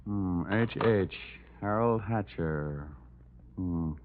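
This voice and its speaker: An actor playing a wry, glib, tough private investigator who flirts and wisecracks, in the style of 1950s radio detective drama. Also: male voice, sits low, drawn-out words, background noise. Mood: pensive. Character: wisecracking, flirtatious male hard-boiled investigator narrating his case. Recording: muffled vintage radio recording with music.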